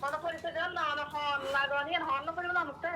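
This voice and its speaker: A woman's voice: high voice, steady tone, fast talker. A woman with an in a casual phone conversation, questioning and wary. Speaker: prank call recipient. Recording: distant phone voice, faint noise.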